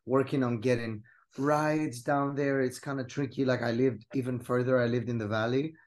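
A man's voice: medium pitch, steady tone, normal speed, a little mellow. Guy, musician, easygoing, telling old stories. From Greece. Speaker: musician, guest. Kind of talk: casual storytelling.

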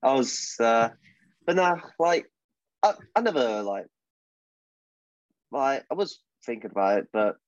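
A man's voice: mid-range voice, sing-song, a little slow, pauses often, no echo. A young man with a British accent, a wrestler guest in a casual podcast interview, talking with lots of fillers and restarts. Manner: hesitant, many fillers and restarts. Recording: dull, dry, lo-fi sound.

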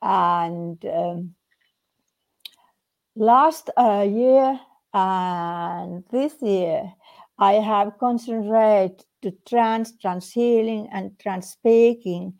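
A woman with a Finnish accent, a native Finnish speaker, giving a personal account haltingly as she searches for words. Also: mid-range voice, vocal fry, darkish tone. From Finland. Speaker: guest, trance medium. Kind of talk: personal account in a group conversation.

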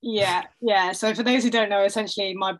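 A woman in her thirties with a London accent, chatting casually as an interview guest, self-deprecating and quick to laugh. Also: mid-range voice, fairly even intonation. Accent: London English. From North London, England.

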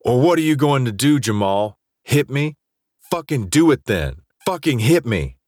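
A man's voice: mid-range voice, big pitch swings, moderate pace, a little mellow, no room sound, clean studio sound, pitched above the performer's own voice. A man voicing a drunk, jealous, self-loathing young student athlete, confrontational and swearing, in an audiobook drama. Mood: furious taunting. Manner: profane, aggressive, confrontational.

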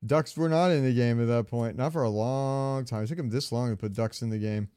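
A man's voice: mid-range voice, fairly quick, mellow, clear, resonant tone. A man co-hosting a podcast, chatting casually about games, joking and thinking aloud on a close microphone. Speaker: podcast co-host. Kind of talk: casual gaming chat.

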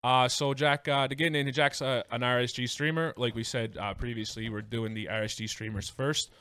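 A man's voice: mid-range voice, fairly even intonation, a little fast, bright, forceful tone, clear, resonant tone. A man hosting a podcast in a casual, conversational way. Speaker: podcast host. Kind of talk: casual interview chat.

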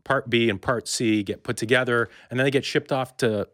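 A man hosting a conversational interview, with halting, reflective and wordy phrasing. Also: mid-range voice, steady tone, a bit bright, dry recording. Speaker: interview podcast host.

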